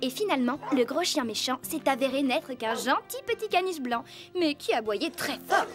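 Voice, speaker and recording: A woman voicing a kind, earnest teenage girl rider in a French cartoon dub, expressive and encouraging. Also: high voice, normal speed, over music. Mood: earnest, reassuring.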